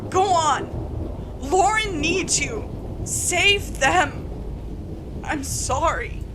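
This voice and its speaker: A woman's voice: extremely high pitch, animated, drawn-out words, noisy background, voice pushed up, heavily acted. A woman voicing a despairing man in a horror story, anguished and pleading. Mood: desperate, sacrificial. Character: exhausted, despairing male friend giving himself up.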